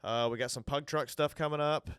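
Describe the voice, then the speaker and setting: A man co-hosting a casual podcast over a remote call, sounding nasal from a cold and easygoing, with drawn-out fillers. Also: fairly high pitch, fairly even intonation, fairly bright tone, clear, resonant tone, no echo, clean studio sound. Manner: easygoing, drawn-out fillers, upbeat sign-offs. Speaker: podcast co-host. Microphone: virtual podcast, remote call.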